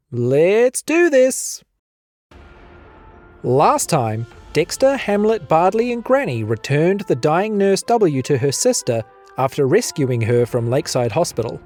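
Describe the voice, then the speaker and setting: A man's voice: on the high side, a little mellow, no echo. A man with a New Zealand accent reading a scripted promo and story recap as a podcast announcer. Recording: music bed behind the voice.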